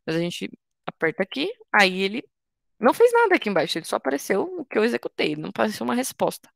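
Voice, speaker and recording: A woman's voice: medium pitch, sing-song, rapid-fire, vocal fry, no room sound, clean studio sound. A woman in her twenties from Brazil, teaching an online coding workshop in a patient, informal way, explaining step by step with frequent restarts. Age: 20s. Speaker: workshop instructor.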